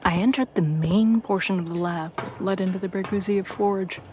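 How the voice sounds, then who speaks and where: A woman reading a first-person story as a dramatic narrator, with intense pauses and hushed, breathy phrases. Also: medium pitch, a little hiss or hum. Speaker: narrator of a fan fiction audio story.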